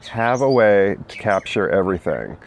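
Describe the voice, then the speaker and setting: A man's voice: fairly low pitch, normal speed, vocal fry, a little hiss or hum. A man hosting a weekly fundraising tip, talking casually outdoors with many fillers and birds singing nearby. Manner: relaxed and chatty, many "uh" and "you know" fillers.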